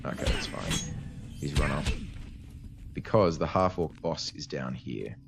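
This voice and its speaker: A man's voice: rumbling low, expressive, a little slow, vocal fry. A man giving casual, explanatory commentary as a let's play host, his voice tired and cutting out slightly from a cold. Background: game music.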